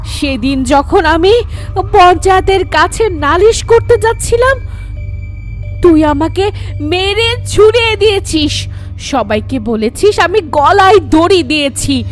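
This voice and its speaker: A woman's voice: extremely high pitch, lively intonation, slow pace, faint music behind, exaggerated voice. A woman voicing a vengeful, menacing female ghost of a murdered woman, taunting and cackling in a horror cartoon. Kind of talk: Bengali horror cartoon voice acting.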